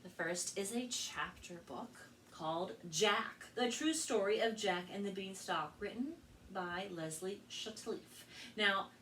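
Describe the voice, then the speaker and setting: An American woman working as a children's librarian, telling stories and presenting to kids in an expressive, carefully spoken way. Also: medium pitch, measured pace. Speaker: children's librarian and storytime host. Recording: distant mic with faint background noise.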